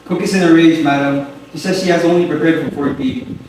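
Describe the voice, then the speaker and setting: A woman's voice: sits low, steady tone, fairly quick, noisy background, close to an everyday voice. A woman acting a worried, deferential household servant in a dramatic reading, anxiously protesting. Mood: alarmed. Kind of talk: stage-style dramatic reading. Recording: very echoey room, distant mic.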